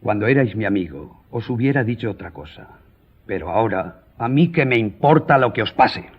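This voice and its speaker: Firm, husky gentleman journalist. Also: on the low side, animated, dull audio. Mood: firm, offended. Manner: formal, measured, firm. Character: proud, composed male newspaper journalist, duel-ready gentleman. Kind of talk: radio drama acting.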